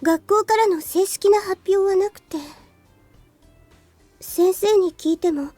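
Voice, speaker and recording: A woman's voice: very high-pitched, moderate pace, mellow, no room sound, faint music behind. A woman playing a polite, sweet, teasing teenage schoolgirl in a Japanese visual novel. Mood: polite, earnest.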